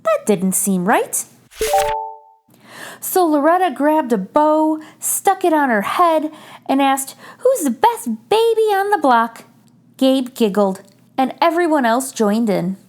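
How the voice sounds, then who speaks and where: High-pitched woman reading a children's story aloud. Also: animated, darkish tone. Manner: addresses young listeners directly, clear deliberate phrasing. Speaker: host reading a children's picture book aloud. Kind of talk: expressive read-aloud storytelling for young children.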